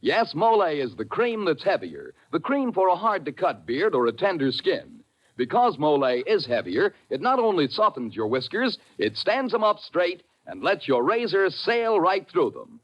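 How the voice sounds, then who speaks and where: A man's voice: fairly high pitch, big pitch swings, normal speed. A man selling emphatically as a 1940s radio commercial announcer. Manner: emphatic, repetitive salesman delivery. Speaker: radio announcer reading sponsor ads. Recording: dull old radio broadcast recording.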